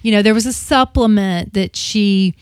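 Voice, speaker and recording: A woman's voice: medium pitch, normal speed, clear, resonant tone, dry recording, clean studio sound. A woman in her fifties, a radio host talking casually and confessionally on air, with many restarts. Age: 50s.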